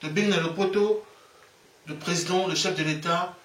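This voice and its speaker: A Congolese man with a Congolese French accent delivers a fervent, preachy monologue, hammering his points home by repeating them. His voice sits high for a man. He sounds set back from the mic in a room full of echo. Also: normal speed, fairly bright tone, distant mic. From Republic of the Congo. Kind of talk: impassioned monologue. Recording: echoey room, away from the mic.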